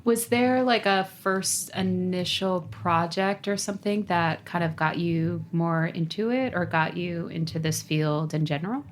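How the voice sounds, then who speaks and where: A woman's voice: lowish voice, measured pace, hardly any pauses, slight background noise. A woman co-hosting a podcast, casually and informally putting questions to a guest. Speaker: podcast co-host. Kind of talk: casual interviewing.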